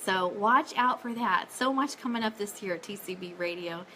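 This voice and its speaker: A woman's voice: medium pitch, moderate pace, sharp tone, slight background noise, poor audio. A woman hosting a casual fan live stream, chatting and making announcements in an upbeat, enthusiastic way.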